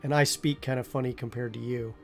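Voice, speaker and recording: A man's voice: mid-range voice, fairly even intonation, brisk, darkish tone, faint music behind. A man hosting a casual interview, loose and full of fillers as he asks questions. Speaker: show host. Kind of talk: casual chat.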